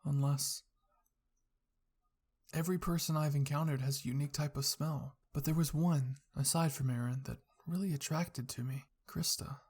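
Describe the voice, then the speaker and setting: A narrator reading a scripted fan fiction story in a husky, creaky voice, in the style of ASMR roleplay. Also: medium pitch, steady tone, normal speed, darkish tone.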